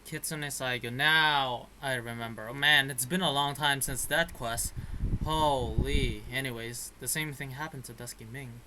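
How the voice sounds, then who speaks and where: A male gaming streamer with a middle-pitched voice talks casually over a game. He thinks aloud in halting bursts. The recording has noticeable noise and clicks. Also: fairly even intonation. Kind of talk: casual game reaction commentary.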